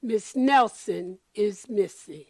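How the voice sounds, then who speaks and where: A woman reading a children's picture book aloud expressively, deliberately addressing children and explaining the pictures. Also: medium pitch, darkish tone, dry recording.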